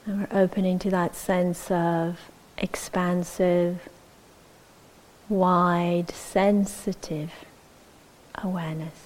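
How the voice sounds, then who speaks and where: A woman guides a meditation in a slightly low voice. Her pace is very slow and measured, with gentle phrasing and long pauses as she gives each instruction. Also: level tone, low-quality recording. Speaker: meditation teacher.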